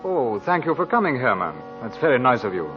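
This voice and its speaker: A man's voice: mid-range voice, sing-song, a little fast, natural voice. Man acting a courteous grieving grandson, old radio. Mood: polite mourning. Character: polite, mildly mournful grandson, male friend. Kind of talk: 1940s radio drama acting. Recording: dull vintage radio recording, music behind.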